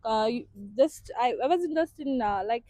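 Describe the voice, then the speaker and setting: A woman's voice: fairly high pitch, lively intonation, mellow, no echo, clean studio sound. Shy woman from Nepal, nurse-turned-student. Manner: shy, halting. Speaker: master's student.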